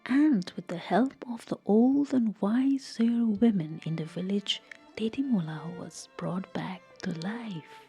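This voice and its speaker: Female storyteller for a scripted folktale: soft, husky-breathy voice, mellow tone, measured expressive reading. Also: medium pitch, faint music behind.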